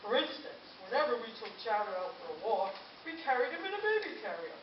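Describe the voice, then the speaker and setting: A woman's voice: a little high, sing-song, fast talker. A woman playing a doting, quirky dog owner with theatrical delivery in a children's puppet show. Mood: explaining fondly. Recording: roomy, distant, dull audio with hiss.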